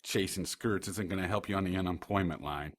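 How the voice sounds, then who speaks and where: A man playing a gruff, sarcastic private detective boss from the 1930s in an audio drama. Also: low voice, brisk, no echo, clean studio sound, natural voice.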